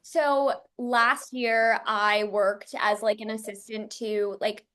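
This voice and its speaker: A young woman in her twenties with a high, very bright voice. She chats casually as a podcast guest, talkative and loose, dropping "like" into nearly every phrase. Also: little pitch movement, slow. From New York City. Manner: chatty, peppers speech with "like". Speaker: podcast guest, content creator.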